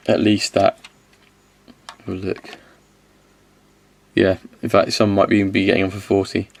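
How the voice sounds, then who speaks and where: A man with a slight cold-hoarse voice, casually reviewing miniatures, rambling and correcting himself as he goes. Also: fairly low pitch, a little mellow. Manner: rambling, self-correcting, counts aloud.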